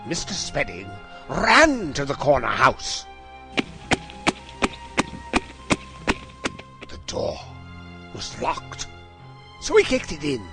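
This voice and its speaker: Male actor for brilliant Victorian detective, audio drama: highish, very animated, unhurried and precise, self-assured. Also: loud music behind the voice, full character voice. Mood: dramatic. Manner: theatrical, precise, formal, explanatory.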